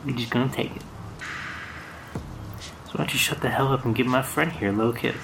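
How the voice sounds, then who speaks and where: A man plays a young street thug in an audio drama, leering and full of threat. He sounds a little away from the mic, with clear rain noise around him. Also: mid-range voice, fairly even intonation, fairly quick, lightly acted. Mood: threatening. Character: menacing young male street thug with a gun. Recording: distant, noisy, rain in background.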